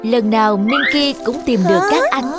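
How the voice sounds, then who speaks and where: A woman's voice: a little high, expressive, normal speed. A woman narrating a scripted children's story as a storyteller. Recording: children's music behind narration. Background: jingly children's music.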